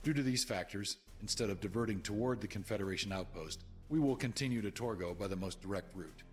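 A man's voice: fairly low pitch, quick pace, a little mellow, lightly acted. An actor playing a resolute starship commander making a formal, solemn address to his crew in a video game. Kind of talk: scripted military announcement in a video game. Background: music.

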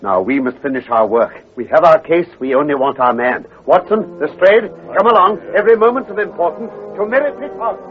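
An actor playing a sharp, commanding middle-aged detective, giving terse orders in 1940s radio acting style. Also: male voice, fairly high pitch, fairly quick, few pauses, loud music behind the voice, close to an everyday voice. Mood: calm resolve. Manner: clipped, authoritative, gives terse orders. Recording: scratchy lo-fi 1940s radio recording with hiss.

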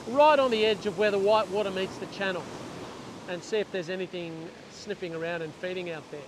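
A middle-aged man talking casually as he fishes and explains on an ocean beach, with a clip-on lapel mic. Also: high voice. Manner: chatty, explains while working, restarts sentences. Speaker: fishing channel host. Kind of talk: casual instructional vlog. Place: open ocean beach outdoors, surf. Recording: loud surf and wind noise. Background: crashing waves and wind.

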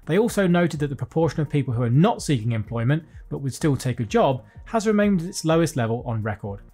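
A British man in his thirties presenting a scripted finance news roundup from a small home studio, reading headlines briskly and dropping wry jokes between them. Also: on the high side, big pitch swings, warm tone, breathy edge. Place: small home studio with desk and lights, indoors.

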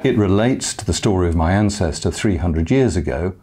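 Older man with a husky voice, presenting. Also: deep voice, expressive, a little fast, darkish tone. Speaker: cruise ship lecturer. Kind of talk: promo talk to camera. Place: indoor meeting room. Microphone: lapel.

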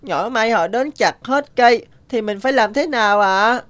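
A woman voicing an anxious, questioning young bird in an animated folk tale, earnest and fretful. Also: a little high, normal speed, lightly acted. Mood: worried, puzzled. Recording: music behind the voice.

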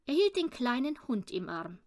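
A woman reading a children's audiobook in an expressive storytelling style. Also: high voice, moderate pace. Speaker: audiobook reader.

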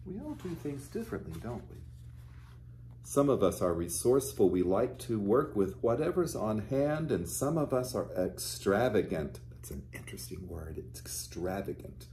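A man in his fifties, a pastor reading a picture book aloud to young children and explaining it gently and simply. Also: on the low side, big pitch swings, normal speed, slight background noise. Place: small furnished living room, indoors.

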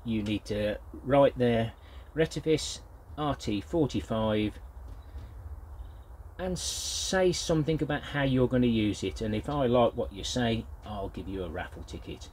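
A man's voice: medium pitch, lively intonation, a little slow, darkish tone, slight background noise. An older British man giving a casual, unscripted product review in a hesitant, rambling way. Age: older, grandfather. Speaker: gardening vlogger reviewing a product.

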